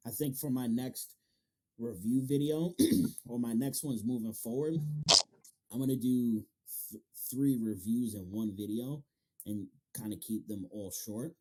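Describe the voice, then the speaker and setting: A man's voice: medium pitch, unhurried, mellow, rough recording. A man hosting a casual interview, talking in loose slang and swearing freely. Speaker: podcast interviewer and manga reviewer.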